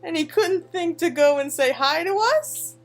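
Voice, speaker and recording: A woman hosting a casual game playthrough in an excitable, gushing manner. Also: extremely high pitch, moderate pace. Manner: excitable, gushing, reads game dialogue aloud. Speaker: gaming channel host. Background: game music.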